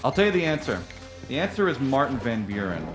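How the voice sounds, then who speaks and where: A man hosting a live charity gaming marathon, his voice bouncing high and low as he teases his co-hosts and reads out donations. He talks fast and casually, quick to laugh. Game music plays clearly behind him. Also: very animated. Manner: playful, teasing, quick to laugh. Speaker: marathon co-host reading donations. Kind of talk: casual live-stream banter.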